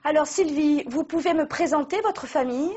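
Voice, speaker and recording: A woman interviewer asking gentle, patient questions. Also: sits high, normal speed, dull audio.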